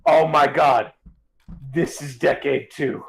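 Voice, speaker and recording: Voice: mid-range voice, fairly even intonation, slow, gravelly. A co-commentator on a stream, giving casual reactions.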